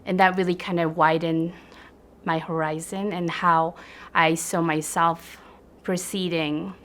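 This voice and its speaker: A woman from Japan speaking in an interview, reflective and pausing often with "um.". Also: on the low side, little pitch movement, a bit bright. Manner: reflective, with hesitant fillers. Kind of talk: documentary interview.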